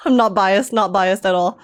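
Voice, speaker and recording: A woman streamer giving casual live game reactions in an excitable, giggly, emotional manner. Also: mid-range voice.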